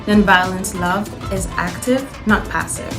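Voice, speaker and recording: A woman in her twenties, a university student, reading a text aloud in a steady, measured way. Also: medium pitch. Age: 20s. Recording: music playing behind.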